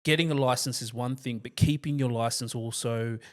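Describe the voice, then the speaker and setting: A man's voice: medium pitch, a little fast. Down-to-earth Australian man. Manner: relaxed, down-to-earth, with frequent restarts. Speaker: financial planner guest. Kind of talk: casual interview answers.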